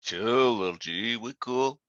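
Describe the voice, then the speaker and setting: A man's voice: mid-range voice, slow, a bit bright, no room sound, lo-fi sound. A man playing a laid-back, streetwise hip hop artist with slangy, unbothered delivery in a screenplay table read. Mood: cool, easygoing.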